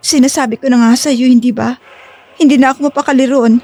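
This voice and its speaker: A woman acts out a young female fugitive in a Filipino audio drama. Her voice is high and emotive, guarded as she keeps her anger hidden. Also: fairly even intonation, fast, warm tone. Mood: anxious.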